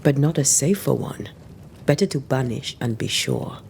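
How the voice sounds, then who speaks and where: An actress playing a stern, battle-weary ghost-hunter in a video game, solemn and measured with a dry delivery. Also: female voice, a bass voice, lively intonation, gravelly, darkish tone, a little hiss or hum, exaggerated voice. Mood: grave, firm. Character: stern, battle-weary 17th-century female ghost-hunter, devoted lover. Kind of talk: dramatic game cutscene acting.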